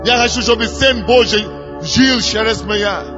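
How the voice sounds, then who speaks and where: A man's voice: extremely high pitch, moderate pace. A man preaching. He grows fervent, repeats his phrases and leads the people in prayer. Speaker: pastor. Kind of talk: sermon leading prayer. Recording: dull lo-fi recording in a reverberant hall with music.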